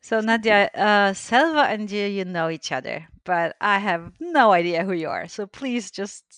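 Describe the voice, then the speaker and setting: A woman co-hosts an interview podcast in English, her second language. Her manner is friendly, chatty and relaxed, and she laughs easily. Her pitch sits in an ordinary middle range for a woman. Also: moderate pace. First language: not English. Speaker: podcast co-host. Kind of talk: casual interview hosting.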